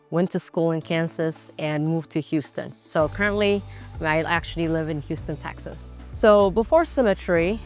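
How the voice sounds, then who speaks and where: A woman in her thirties with an Ethiopian accent, telling her personal story conversationally as an interview guest, often checking in with "right?". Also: low voice. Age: 30s. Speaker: insurance agency owner, interview guest.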